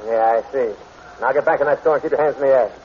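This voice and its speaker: An actor playing a brusque, sarcastic police inspector in 1940s radio drama style. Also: male voice, medium pitch, quick pace, slight background noise, close to an everyday voice. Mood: stern, sardonic. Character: gruff, sardonic male police inspector. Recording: muffled 1940s radio recording.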